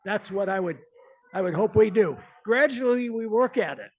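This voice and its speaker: A man's voice: sits high, lively intonation, moderate pace, highs cut off. A man from Nebraska giving a folksy, conversational talk full of "you know" and "I think". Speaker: conference speaker. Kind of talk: informal talk at a symposium.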